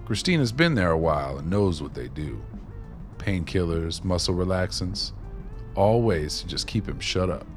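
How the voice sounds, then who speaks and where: A man's voice: deep voice, big pitch swings, moderate pace, soft background music. An actor playing a streetwise, wry former maintenance man, telling stories in a folksy, colloquial way in a radio play. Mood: reminiscing. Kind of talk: radio play dialogue, reminiscing.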